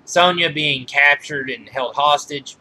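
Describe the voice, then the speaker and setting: A man giving informal film trivia commentary as a reviewer, halting and often correcting himself mid-sentence. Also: on the high side, sharp tone, slight background noise, rough recording. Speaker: movie reviewer.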